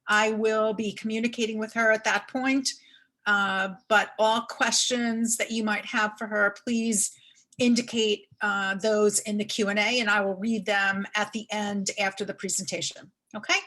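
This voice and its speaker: A woman host formally introducing a guest speaker on an online lecture, somewhat halting with fillers. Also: medium pitch, level tone, pushed and bright.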